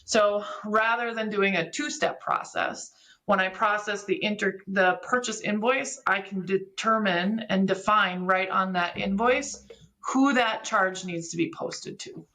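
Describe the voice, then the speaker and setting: A woman's voice: medium pitch, a little slow, pushed and bright, rough recording. A woman giving a conversational technical explanation as an expert guest, walking through things step by step with examples. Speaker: podcast guest, business software expert.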